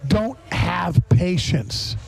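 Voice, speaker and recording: A man's voice: high voice, expressive, very slow, creaky, music in the background. A man hosting a podcast in a casual, folksy way, often interjecting and repeating his phrases. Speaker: podcast host. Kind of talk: casual live chat.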